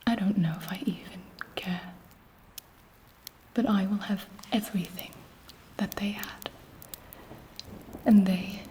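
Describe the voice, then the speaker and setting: A woman voicing a scheming, haughty vampire queen in a breathy, deliberate ASMR whisper. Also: medium pitch, darkish tone, lightly acted. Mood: possessive, ruthless. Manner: slow, deliberate, imperious. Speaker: ASMR performer.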